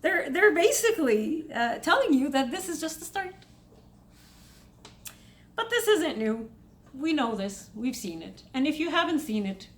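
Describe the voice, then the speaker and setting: A young woman from Saudi Arabia with an Egyptian accent, talking to her viewers in a casual, emphatic commentary. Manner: emphatic, spikes in pitch when making a point. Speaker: vlogger.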